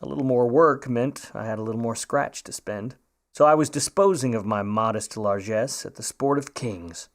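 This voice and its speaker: An actor playing a sardonic, world-weary 1950s Hollywood private eye in a radio play, with hard-boiled delivery. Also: male voice, medium pitch, normal speed, close to an everyday voice. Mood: wry narration. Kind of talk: noir radio play narration and dialogue.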